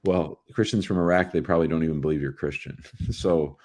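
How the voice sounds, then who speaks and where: A man with an American accent and a distinctly low voice hosts a live show. He talks casually and informally to callers and to the people watching. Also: brisk, a little mellow. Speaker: host of a live call-in show.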